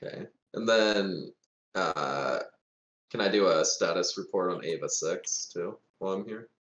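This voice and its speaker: A man's voice: deep voice, unhurried, a bit bright, roomy sound, poor audio. A man chatting casually as a player in a tabletop game. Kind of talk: casual chat.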